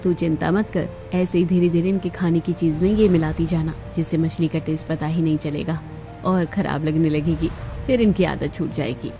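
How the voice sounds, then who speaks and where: A woman's voice: sits low, fairly even intonation, normal speed, mellow, faint music behind. Woman acting a dramatic, scheming cartoon mother. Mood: conspiratorial. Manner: dramatic, scolding, exclamatory. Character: scheming, fiercely protective middle-aged mother of a bride. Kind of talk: Hindi cartoon story dubbing.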